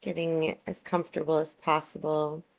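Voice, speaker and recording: A woman guiding a yoga relaxation meditation, giving soothing, measured instructions with long pauses between phrases. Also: sits low, little pitch movement, creaky.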